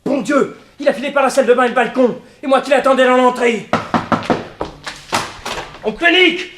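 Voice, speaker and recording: An actor playing a frantic, exasperated pursuer in a 1960s French radio crime serial. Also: male voice, very high voice, normal speed. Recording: echoey, noisy old radio recording.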